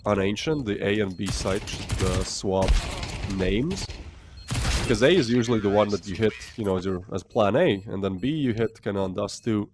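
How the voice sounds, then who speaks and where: A low-voiced man from the Baltics commentates a live esports match with chatty, excitable play-by-play. A steady hiss of noise sits under his stream audio. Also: moderate pace. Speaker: esports caster.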